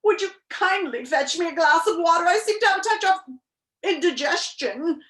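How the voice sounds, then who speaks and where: A woman's voice: sits high, huge pitch swings, normal speed, fairly bright tone, voice pushed up, full character voice. A woman voicing a pompous, fickle male bug from a storybook, wordy, blustery and given to emphatic repetition. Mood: distressed, gasping. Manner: wordy, repetitive, emphatic, echoing what others say.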